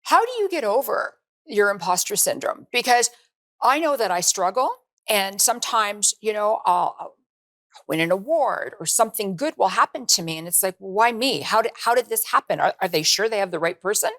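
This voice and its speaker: A Canadian woman hosts a television interview program. She introduces her guest and asks questions in an expressive, engaged manner, her voice sitting at a middle pitch. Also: moderate pace. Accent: Canadian English. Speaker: talk show host.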